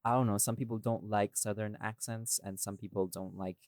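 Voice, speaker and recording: A man's voice: fairly low pitch, fairly even intonation, brisk, a little mellow, no echo, studio quality. A man hosting a podcast in an informal, conversational way. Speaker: podcast host, voice actor. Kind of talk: casual chat.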